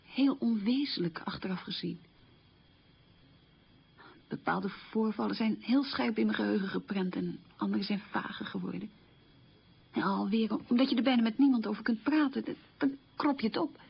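A woman playing someone haunted by memories of wartime hunger, telling them haltingly in a reflective radio monologue. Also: medium pitch, creaky, muffled recording, slight background noise, close to an everyday voice. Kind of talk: radio documentary-drama monologue, reminiscing.

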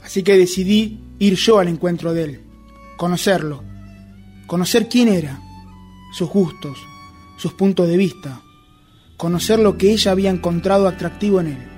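A man acting as an obsessive, jealous man with a notably high voice and a Rioplatense Argentine accent. He confesses deliberately and broodingly, in radio drama style, with soft music underneath. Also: close to an everyday voice. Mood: brooding, confessional. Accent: Rioplatense Argentine Spanish. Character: obsessive jealous man confessing his secret pursuit of a rival. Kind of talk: radio drama narration and dialogue.

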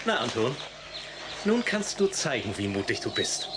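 A man's voice: medium pitch, big pitch swings, moderate pace, natural voice. A man playing a cheerful, unworried, teasing middle-aged father in a German audio drama. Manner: teasing, enthusiastic, chuckling. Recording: noisy old audio drama recording.

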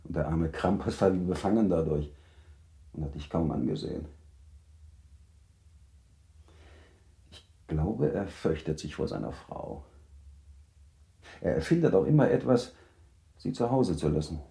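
An actor playing a much older baron in a radio play, a teasing, possessive husband with a measured, formal, gallant manner. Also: male voice, sits low, expressive, vocal fry, warm tone, roomy sound. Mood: insinuating. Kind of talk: German radio play acting.

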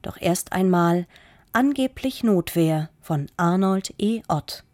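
A woman's voice: on the low side, expressive, slow, darkish tone, no echo. A woman radio presenter reading a scripted introduction with polished composure in a studio.

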